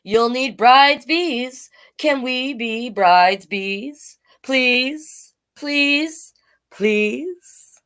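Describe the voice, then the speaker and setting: A woman voicing excitable bees in a children's storybook, begging with drawn-out words. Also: high-pitched, voice pushed up, heavily acted. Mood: pleading. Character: excitable, pleading bees keen to be bridesmaids. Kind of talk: children's storybook read-aloud.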